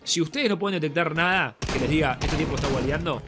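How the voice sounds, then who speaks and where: A man's voice: a little high, quick pace. A young man with a Rioplatense Spanish accent, congested from a cold, chatting casually with viewers while commenting on a game replay. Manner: chatty, addresses viewers as friends. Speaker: gaming streamer. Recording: game music and gunfire behind.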